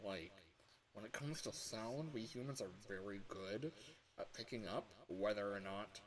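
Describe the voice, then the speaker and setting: A man talking casually as a livestreamer and game developer, rambling and breaking off his own sentences with animated asides. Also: on the low side, rough recording.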